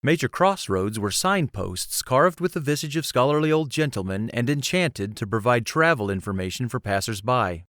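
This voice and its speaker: A man's voice: medium pitch, expressive, normal speed, few pauses, fairly bright tone, no room sound, clean studio sound. A man narrating a scripted fantasy story as an audiobook narrator. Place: close, dry recording space.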